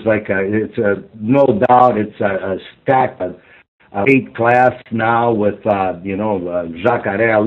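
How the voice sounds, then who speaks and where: Guy translating on a conference call, kinda deep, stop-start, thin phone sound. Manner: halting, repetitive phrasing with filler phrases. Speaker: interpreter. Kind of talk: live interpreting on a conference call. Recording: muffled narrow-band phone line.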